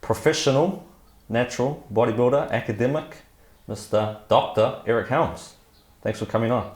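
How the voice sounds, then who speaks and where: A man's voice: mid-range voice, moderate pace, a little mellow. A man hosting a podcast, introducing and questioning a guest in a casual interview style. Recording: echoey, roomy sound.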